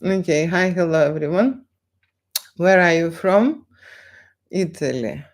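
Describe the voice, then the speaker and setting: Slow-talking woman with a Lithuanian accent. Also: fairly low pitch. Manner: halting, repeats phrases, frequent "okay?" check-ins. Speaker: live stream host, photographer. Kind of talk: unscripted live stream chat, answering viewers.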